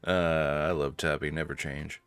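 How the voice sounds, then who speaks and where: A man's voice: extremely low pitch, little pitch movement, measured pace, a bit bright, smooth, no room sound. A man hosting a let's-play, reading story narration theatrically with casual, amused asides. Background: faint game music.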